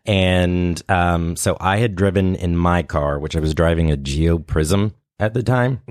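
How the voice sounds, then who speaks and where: A man's voice: deep voice, measured pace, darkish tone, no room sound, studio quality. A man telling funny personal stories in a casual, self-deprecating and somewhat hesitant way, as a podcast guest. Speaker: podcast guest, sketch and improv comedian. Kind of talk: casual comedic storytelling.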